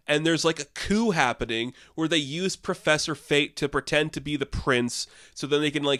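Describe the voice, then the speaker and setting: A man's voice: on the high side, pushed and bright, no room sound, clean studio sound. A young man giving a casual, rambling film review, sarcastic and exasperated, with frequent filler words.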